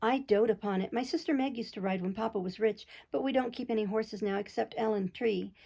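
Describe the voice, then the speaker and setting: A woman's voice: a little high, a little fast, creaky, mellow, slightly rough. A woman voicing a chatty, eager, horse-mad young girl in a storybook. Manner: eager, cheerful.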